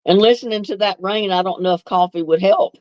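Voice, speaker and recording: A woman's voice: medium pitch, a little fast, darkish tone, no echo. An older woman from North Carolina, chatting casually on a livestream and reading viewer comments in a homey way, laughing often. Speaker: livestream host. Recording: dull, lo-fi dry sound.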